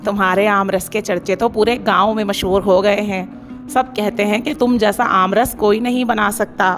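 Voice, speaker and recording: A woman voicing a commanding older male contractor in a cartoon story, slow and lordly. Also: medium pitch, steady tone, lightly acted. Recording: cartoon voice-over with music.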